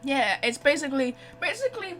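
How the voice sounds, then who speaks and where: A woman giving casual let's play commentary as a game streamer, chatty and expressive. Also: sits high, brisk, faint music behind.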